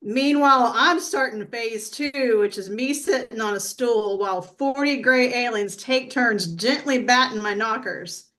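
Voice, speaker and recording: A woman playing a brash, earthy abductee in a comedy sketch read, telling it in a blunt, folksy, deadpan way. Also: a little high, measured pace, few pauses, rough recording. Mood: matter-of-fact.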